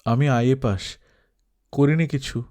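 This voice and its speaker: A man's voice: mid-range voice, steady tone, takes it slowly, darkish tone, natural voice. A man voicing an earnest, hesitant, inexperienced young man looking for work in a story. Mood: timid. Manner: hesitant, polite. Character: earnest, timid, inexperienced young male job seeker.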